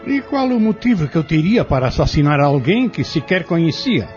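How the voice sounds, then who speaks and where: A man's voice: a little high, very animated, moderate pace, a little mellow. A man playing a haughty accused man on trial in an audio drama, speaking formally and with indignation. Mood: indignant. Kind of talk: audio drama acting, courtroom scene. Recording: dull lo-fi audio with music underneath.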